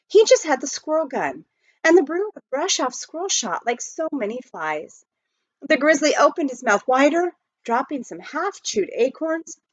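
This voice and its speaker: Woman, storybook reader, expressive narration, very lively intonation, medium pitch, dry and slightly dull recording. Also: no echo. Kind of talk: expressive scripted narration of a children's novel.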